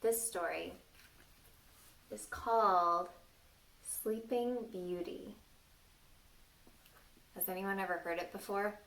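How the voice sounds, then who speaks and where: A woman's voice: mid-range voice, takes it slowly, pauses often, fairly bright tone. A woman reading a story aloud to young children, playfully stopping to ask them questions and exclaim. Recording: distant microphone.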